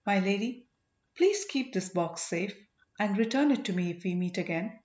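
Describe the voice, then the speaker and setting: A woman's voice: mid-range voice, expressive, moderate pace, darkish tone, roomy sound, muffled recording, natural voice. A woman voicing a proud, impulsive young exiled prince in a storybook, with a commanding manner. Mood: resigned.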